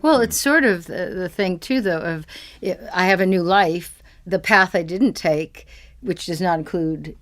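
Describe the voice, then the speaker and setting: A woman's voice: lowish voice, measured pace. A woman talking casually and reflectively as a panelist discussing a play.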